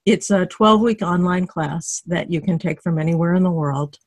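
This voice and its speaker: A middle-aged woman leading a dating teleclass on a video call in relaxed, encouraging talk full of fillers and restarts. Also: fairly low pitch, moderate pace, no echo, clean studio sound. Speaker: dating coach co-hosting a teleclass. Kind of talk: conversational teaching on a video call.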